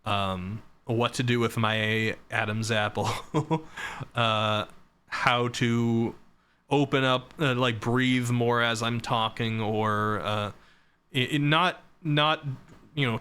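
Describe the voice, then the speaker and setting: A young man streaming live, chatting casually about himself with a rambling, self-deprecating manner, his voice starting to go hoarse from talking so long. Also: mid-range voice, fairly even intonation, takes it slowly, bright, forceful tone. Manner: rambling and self-deprecating, reading chat and laughing.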